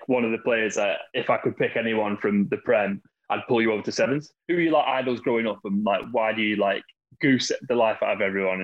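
A man asking a teammate casual questions, quick with many fillers. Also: mid-range voice. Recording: dull lo-fi line.

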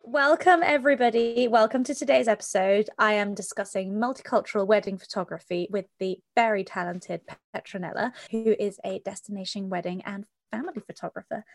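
A woman's voice: mid-range voice, steady tone, brisk, gravelly, hardly any pauses. A woman hosting a podcast, upbeat and cheeky as she welcomes and questions her guest. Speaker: podcast host.

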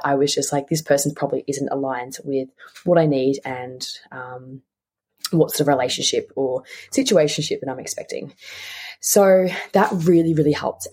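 A woman's voice: deep voice, a little mellow. A woman in her twenties with an Australian accent, chatting casually and candidly as a solo podcast host, often repeating words for emphasis. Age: 20s.

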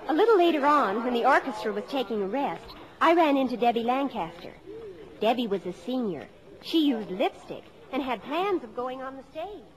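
A woman's voice: high voice, lively intonation, moderate pace, crowd noise, rough recording. A woman playing an earnest, easily flustered American teenage daughter in a 1950s radio comedy. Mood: narrating, wry. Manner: eager, hesitant, flustered. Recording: old radio broadcast.

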